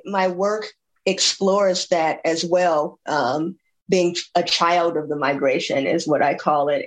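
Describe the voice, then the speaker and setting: Woman from chicago talking on a panel, kinda low voice, lots of ums. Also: rough recording. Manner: thoughtful, many ums and restarts. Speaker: author on a panel. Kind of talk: panel discussion.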